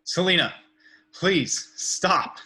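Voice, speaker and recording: Guy doing a serious masked hero, earnest and slow, really tense sharp voice. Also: high-pitched, heavily acted. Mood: pleading. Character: earnest, stern male masked vigilante superhero, pleading. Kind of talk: amateur table read of a superhero film script.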